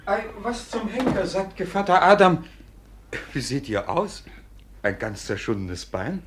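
An actor playing a sly, mockingly polite court clerk in a 1950s German radio play, with a teasing, declamatory delivery. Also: male voice, sits high, lively intonation, measured pace, a little hiss or hum. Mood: mocking, probing. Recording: vintage 1950s radio recording.

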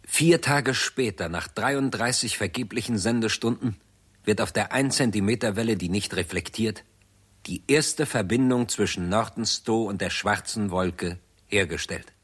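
A man narrating a 1960s radio drama as a sober, detached chronicler, measured and formal. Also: lowish voice, a bit bright, slightly rough.

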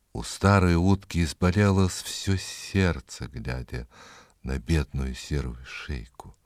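A man narrating a scripted fairy tale in an expressive, dramatic storytelling manner. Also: a bass voice, no room sound, clean studio sound.

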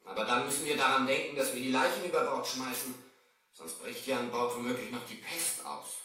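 Guy acting as nervous loyal servant, fretful, bright sharp voice, old radio play, big echo. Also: medium pitch, a little fast, close to an everyday voice. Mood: excited, worried. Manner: exclamatory, fretful. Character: anxious, deferential male servant on a ghost ship. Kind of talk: 1970s children's adventure radio-play acting. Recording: echoey, distant 1970s vinyl record with music.

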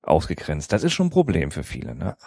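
A man's voice: rumbling low, wildly swooping pitch, fast, creaky, dark tone, no echo, studio quality. A man hosting an interview, talking in a conversational and reflective way and asking leading questions. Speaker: podcast host.

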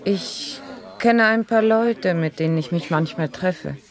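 A woman's voice: on the low side, unhurried. An actress playing a young, reserved, lonely daughter in a 1970s German radio play, with a busy crowd around her. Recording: busy crowd noise behind the voice. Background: jostling crowd.

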